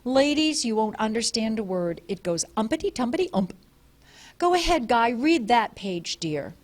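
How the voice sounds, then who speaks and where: Brief: a woman playing a jittery wife, brightly forced cheer, high and fairly lively. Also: normal speed. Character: anxious wife keeping up appearances.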